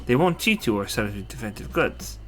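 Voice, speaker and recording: A man reads video game dialogue aloud in an even, explanatory way. His voice sits at a middle pitch. Faint game music plays under him. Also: brisk. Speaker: game narrator and voice actor. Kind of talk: voicing a game playthrough.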